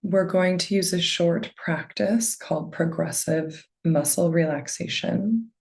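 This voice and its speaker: A woman guides a meditation in a low, soft voice. She speaks very slowly, leaving space between phrases. Her gentle delivery settles into a noticeable creak. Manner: gentle, unhurried phrasing with long pauses. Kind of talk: guided meditation, slow soothing narration.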